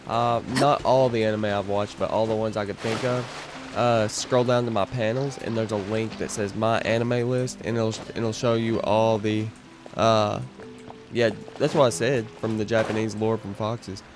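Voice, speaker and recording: A young man live-streaming, chatting loosely with his viewers and often restarting his sentences. His voice sits on the low side, with game music clearly playing under him. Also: fairly even intonation, moderate pace. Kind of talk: casual stream chat.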